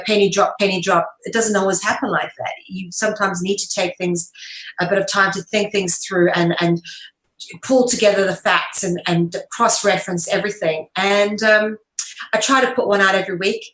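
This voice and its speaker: A woman's voice: mid-range voice, a bit bright. A woman being interviewed, explaining her ideas at length in an earnest, emphatic, lecturing way. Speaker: interview guest, online show presenter. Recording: echoey room.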